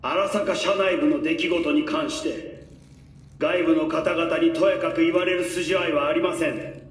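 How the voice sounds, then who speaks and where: A man acting as a haughty corporate patriarch in a game. He speaks formally and coldly in a slightly high voice, echoing and a little away from the mic. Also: fairly even intonation, a little fast, natural voice. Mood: cold, imperious. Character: cold, imperious corporate patriarch making a public statement. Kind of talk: formal scripted statement in a video game. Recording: echoey, distant, faint noise.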